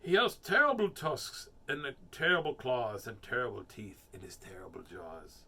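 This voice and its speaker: A man's voice: medium pitch, animated, moderate pace, vocal fry, bright, forceful tone, exaggerated voice. A man voicing a small, crafty storybook mouse who is exaggeratedly polite and boastful, with a put-on accent. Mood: sly, boastful.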